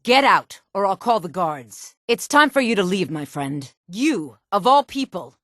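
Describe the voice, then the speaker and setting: A woman's voice: mid-range voice, very animated, unhurried, a bit bright, no room sound, studio quality. An actress voicing a sultry, haughty fantasy townswoman for a video game, flirtatious and cutting in a theatrical drawl. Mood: indignant, threatening. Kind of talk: video game voice lines, fantasy dialogue and combat barks.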